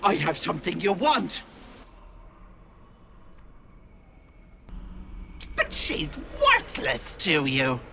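A woman's voice: fairly low pitch, huge pitch swings, unhurried, gravelly, very noisy, exaggerated voice. A woman playing a vicious, wheedling old hag in a video game, theatrical and taunting with mock-sweet menace. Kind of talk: video game character acting.

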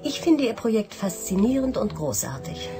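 An actress in a 1980s German radio drama playing a tycoon's self-assured, enthusiastic daughter, poised and admiring. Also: female voice, medium pitch, big pitch swings, moderate pace, music in the background.

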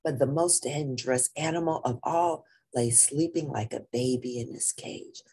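A woman reading a children's picture book aloud in a theatrical, deliberate way with playful emphasis. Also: rumbling low, dark tone, slightly rough. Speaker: storybook reader.

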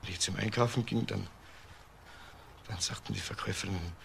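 An actor playing an intense, bitter, lovelorn murder suspect, speaking emphatically and confessionally in a crime radio play. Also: male voice, fairly low pitch, a little fast, creaky, fairly bright tone. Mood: wistful, subdued. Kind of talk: German crime radio drama acting. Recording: faint background noise.

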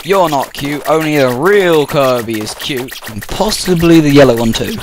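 A man's voice: on the high side, animated, moderate pace, natural voice. A man voicing a cocky, stubborn, taunting winged archer in an amateur game comedy. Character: cocky, stubborn winged archer boy who spams attacks. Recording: clearly noisy, clicky amateur recording.

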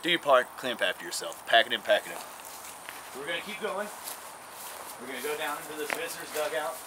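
A man's voice: fairly high pitch, expressive, quick pace. A young man giving a casual, folksy guided tour to camera, recorded outdoors at an abandoned baseball stadium. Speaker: urban exploration vlogger. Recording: outdoor camera audio with noise.

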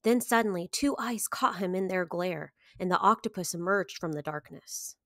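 A woman in her thirties reading to children as a story time host, with expressive, clearly phrased delivery. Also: lowish voice, moderate pace, dry recording, clean studio sound. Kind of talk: children's picture book read-aloud.

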